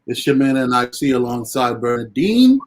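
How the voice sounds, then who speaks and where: A man co-hosting a casual chat show, talking in a relaxed, slangy, easygoing way. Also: mid-range voice, moderate pace. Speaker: co-host.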